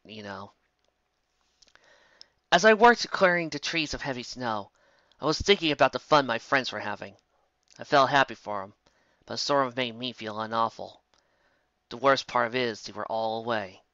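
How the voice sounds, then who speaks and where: Story reader: bright tone, dry, dull lo-fi home recording. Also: mid-range voice, no echo. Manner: plain, steady reading with small stumbles. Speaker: fan fiction reader. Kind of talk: first-person story reading.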